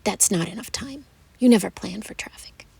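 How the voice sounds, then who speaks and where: A woman voicing a fussy, bossy older mother who nags and argues indignantly, in a whispery, gravelly storytelling voice. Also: mid-range voice, darkish tone. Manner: nagging, indignant, quick to argue.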